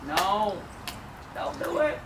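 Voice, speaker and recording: A man's voice: high-pitched, big pitch swings, slow pace, gravelly. A middle-aged man, coaxing and teasing children as he coaches them by a backyard pool, recorded outdoors on a camera a few meters away. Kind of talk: casual family coaching. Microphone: handheld camera a few meters off. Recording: splashing water noise.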